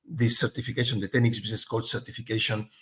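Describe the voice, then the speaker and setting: A man's voice: on the low side, flat delivery, fast, bright, forceful tone. A middle-aged man from Spain, talking as a guest in an interview, thoughtful and full of fillers and restarts. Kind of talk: casual interview chat.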